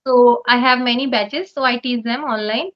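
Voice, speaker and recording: Teacher lady, high voice, earnest advice, kinda dull muffled audio. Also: normal speed. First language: not English. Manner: earnest, advice-giving, laughs often. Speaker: online English teacher. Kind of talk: conversation practice chat.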